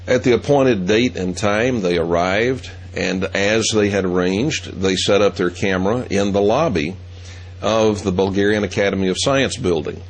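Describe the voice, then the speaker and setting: Low-voiced male host, measured delivery. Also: low-quality recording. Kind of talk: monologue.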